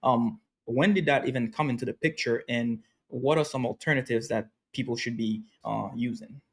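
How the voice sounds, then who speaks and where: A man's voice: mid-range voice, normal speed, husky. A man in his thirties hosts a podcast in a loose, chatty way. He talks casually with his guest and laughs easily. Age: 30s. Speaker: podcast host.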